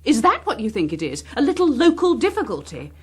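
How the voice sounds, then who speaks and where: A woman's voice: on the high side, huge pitch swings, a little fast, slightly rough, full character voice. An actress playing a bitter, proud, defiant woman, sardonic and clipped, in a naturalistic political drama. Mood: bitter, angry.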